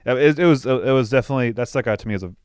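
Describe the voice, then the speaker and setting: A man's voice: medium pitch, very fast, a little mellow, clear, resonant tone, dry recording, studio quality. A man co-hosting a podcast, giving opinionated, mildly profane takes in casual movie-review chat. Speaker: podcast co-host.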